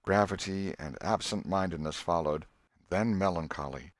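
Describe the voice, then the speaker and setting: A male audiobook narrator reads literary prose from a script. His pitch sits on the low side. The recording is close and dry, with very little room sound. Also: normal speed, no echo.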